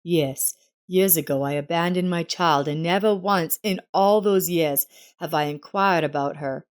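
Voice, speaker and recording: A woman's voice: fairly low pitch, unhurried, natural voice. Woman reading an anxious, remorseful husband. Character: remorseful, anxious husband confessing to his wife. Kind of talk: narrated family drama story.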